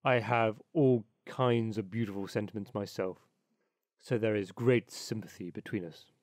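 A man's voice: lowish voice, fairly even intonation, moderate pace, a little mellow, no echo, clean studio sound, voice pushed down, close to an everyday voice. A man voicing an opinionated, self-satisfied water rat in a storybook, talking like a pompous know-it-all.

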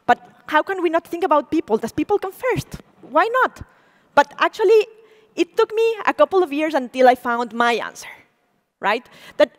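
A woman in her forties, a native Spanish speaker, giving an animated lecture on stage as a program director, engaging the audience with questions. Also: high voice. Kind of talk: public lecture. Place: large auditorium stage. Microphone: stage mic, speaker walking. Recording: long reverb of a hall.

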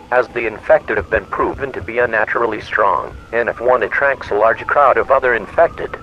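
A man's voice: mid-range voice, lightly acted. A man playing an impersonal government emergency alert announcer, reading a scripted broadcast in a formal way. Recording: muffled lo-fi, loud background noise.

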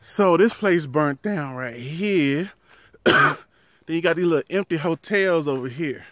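A man in his thirties, a truck driver, chatting casually to his viewers in a handheld vlog, outdoors by a highway with the phone at arm's length. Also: measured pace, fairly bright tone, no room sound, studio quality. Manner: chatty, talks to viewers as "y'all", laughs easily. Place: outdoors in an empty desert town by a highway. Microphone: phone held at arm's length.

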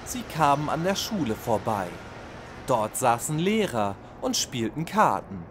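A man narrating a children's bedtime audio story in a gentle storytelling manner. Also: medium pitch, sing-song, unhurried, background noise.

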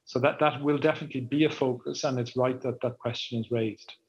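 A middle-aged man answering a panel question over a video call in a measured way, with many restarts. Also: mid-range voice, steady tone, breathy edge. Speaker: panelist, EU official. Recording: muffled, lo-fi video call audio.

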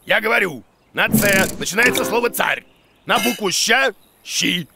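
A man voicing an excitable, pompous, muddled monster teacher in a children's educational cartoon, with a creaky voice. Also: high voice, moderate pace, heavy background noise. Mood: confidently muddled. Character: blustery, absurd, bossy male monster teacher for children.

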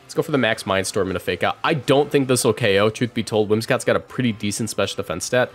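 A man in his twenties giving casual live game commentary, chatting and thinking out loud as he plays. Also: lowish voice, fast talker, faint music behind. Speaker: streamer.

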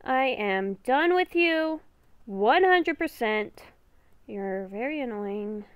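A woman improvising in character in a game roleplay video, with a halting, exasperated way of talking. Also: a little high, lively intonation, clean tone, dry recording, muffled recording. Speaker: gaming video creator playing the lead character.

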